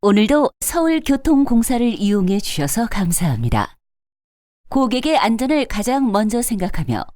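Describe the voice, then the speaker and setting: A woman's voice: on the high side, a little mellow, no echo, studio quality. A woman reading scripted subway announcements in a polite, formal manner as a transit announcer.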